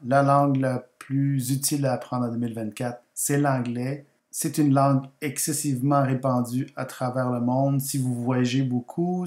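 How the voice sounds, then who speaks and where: A man from Guatemala whose first language is Spanish talks through an explainer over slides. His delivery is steady and fairly monotone, and he repeats words to stress a point. The room around him rings with a noticeable echo. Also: medium pitch. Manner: measured, repeats words for emphasis. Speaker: language video host.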